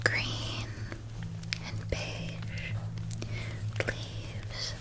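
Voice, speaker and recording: Woman as a whispering, slow, gentle witch. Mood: gentle, admiring. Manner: soft, unhurried, caring, descriptive. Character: gentle, attentive witch outfitting an academy student. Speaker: ASMR performer. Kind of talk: whispered ASMR roleplay.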